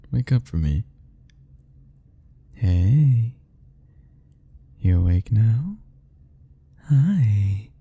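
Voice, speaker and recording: Low gravelly teasing young man. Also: dark tone. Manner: teasing, playful, laughing often. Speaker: ASMR roleplay narrator. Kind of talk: ASMR boyfriend roleplay, talking to the listener.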